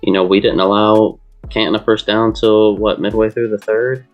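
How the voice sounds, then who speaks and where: A man on a sports show chatting casually, halting and repeating words as he goes. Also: on the low side, fairly even intonation, highs cut off, soft background music. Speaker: co-host or panelist on a sports league show.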